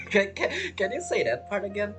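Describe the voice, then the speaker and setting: A woman's voice: mid-range voice, wildly swooping pitch, moderate pace, fairly bright tone, faint music behind. A woman giving casual, joking commentary as she plays through a video game story. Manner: playful, joking. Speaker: gamer reacting to a story quest.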